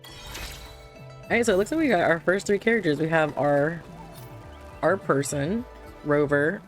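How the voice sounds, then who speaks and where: A woman's voice: low voice, a little slow. A woman streaming a video game, reacting casually in slangy, offhand talk. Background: game music.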